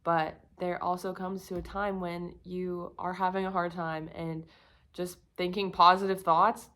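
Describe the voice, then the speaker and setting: Croaky young woman vlogging candidly. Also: lowish voice, level tone, unhurried, fairly bright tone. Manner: rambling, filler-heavy, candid. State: croaky, slightly sick voice. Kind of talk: casual confessional talk to camera.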